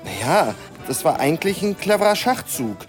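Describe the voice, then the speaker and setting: An actor playing a campaign adviser who explains things matter-of-factly in a satirical radio play. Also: male voice, on the high side, big pitch swings, normal speed, music in the background.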